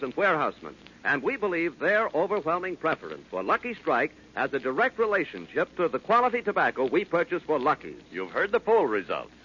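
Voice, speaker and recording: A man's voice: a little high, bright, forceful tone, slightly rough. A man announcing a scripted 1940s radio advertisement in an emphatic, declamatory sales delivery. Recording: muffled 1940s radio recording.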